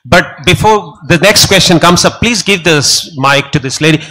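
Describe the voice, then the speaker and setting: A man moderating an onstage conversation, with formal, slightly halting phrasing. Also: a little high, bright, forceful tone, rough recording. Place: festival stage before an audience.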